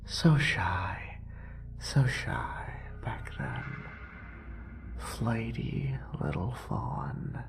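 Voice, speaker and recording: A man playing an obsessive, murderous, possessive lover in a horror roleplay audio drama, with a breathy, gravelly voice and lingering repetitions. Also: fairly low pitch, sing-song, exaggerated voice. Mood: tender, eerie. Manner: breathy whispering, drawn-out sighs, lingering repetitions.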